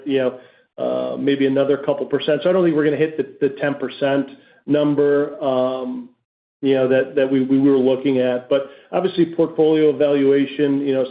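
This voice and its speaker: A man answers questions on a corporate earnings call as a company executive. His delivery is measured and halting, with a lively rise and fall in pitch. The audio is thin and narrow, like a telephone line. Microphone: conference call line.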